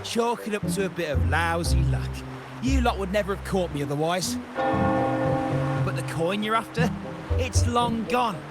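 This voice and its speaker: Brief: high, wildly swooping sulky game thief who wheedles and protests his innocence, loud music underneath. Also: lively intonation, a little slow, long pauses. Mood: sulky, uneasy. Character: cornered, whiny male thief pleading innocence. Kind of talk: video game voice acting.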